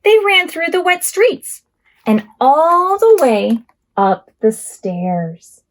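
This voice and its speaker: A woman hosting a preschool storytime as a children's librarian, reading picture books aloud expressively with drawn-out words. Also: sits high. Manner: expressive, drawn-out words and acted sound effects.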